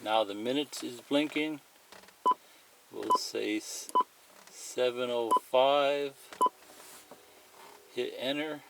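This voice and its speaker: A man's voice: mid-range voice, fairly even intonation, takes it slowly, gravelly, long pauses, very noisy. A man giving a plain, methodical, unscripted step-by-step demonstration of a product as a tutorial presenter.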